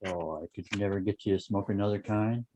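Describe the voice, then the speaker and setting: A man playing an inquisitive, smooth ad man in an amateur table read of a TV drama, conversational and probing. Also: lowish voice, fairly even intonation, a little fast, a little mellow, slight background noise, natural voice. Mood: curious, casual.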